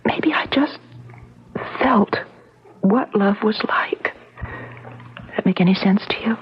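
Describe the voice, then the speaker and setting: A woman's voice: medium pitch, slow pace, breathy edge, in a whisper. An actress playing a shaken, newly smitten woman in an old-time radio drama, speaking hesitantly, breathily and earnestly. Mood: tender, wondering. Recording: muffled vintage radio drama recording.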